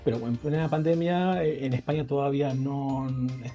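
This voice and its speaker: Man, Rioplatense accent, middle-aged, relaxed dark tone, rambling, restarts sentences, faint music behind. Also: medium pitch, normal speed. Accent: Rioplatense Spanish. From Argentina. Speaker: guest, indie game developer. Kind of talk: casual interview chat.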